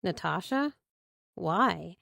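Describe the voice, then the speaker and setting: A woman's voice: on the low side, expressive, drawn-out words, gravelly, pushed and bright, no room sound, clean studio sound, exaggerated voice. A woman voicing a wary, reluctant teenage girl in an audiobook, hesitant and questioning. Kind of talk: audiobook character dialogue.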